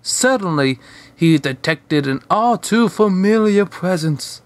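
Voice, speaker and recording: A man's voice: high voice, lively intonation, takes it slowly, no echo. A man hosting a fan fiction reading, mixing storytelling with casual asides. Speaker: host and fan fiction reader.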